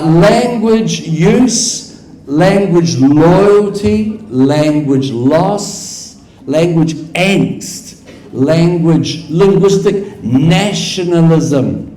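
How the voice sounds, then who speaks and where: A man with a New Zealand accent lectures slowly to a hall audience, his voice sitting a little high and ringing off the room's echoey walls. He speaks in rhetorical runs of repeated phrases and keeps quizzing the listeners directly. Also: mellow. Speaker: guest lecturer. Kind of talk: conference lecture with audience questions.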